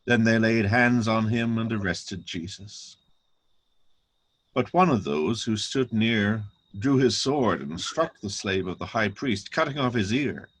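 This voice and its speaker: A man's voice: fairly low pitch, expressive, unhurried, husky, low-quality recording. A man narrating a dramatic reading of scripture.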